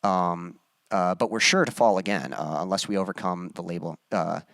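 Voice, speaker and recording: A man hosting his own music live stream, chatting casually with viewers and reading their comments aloud, with many pauses and fillers. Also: low voice, gravelly, dry recording, clean studio sound. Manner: relaxed, halting, many fillers, reads chat aloud.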